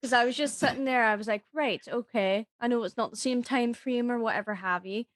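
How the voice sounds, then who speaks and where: A woman's voice: fairly high pitch, brisk, dry recording. Informal woman. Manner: informal, dropped Ts. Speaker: voice actor, podcast guest. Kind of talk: casual chat.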